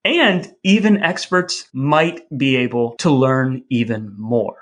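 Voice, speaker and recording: A man's voice: medium pitch, takes it slowly, a bit bright. An American man co-hosting a podcast in a wry, mock-formal, wordy way, mixing scripted banter with introductions. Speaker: podcast co-host.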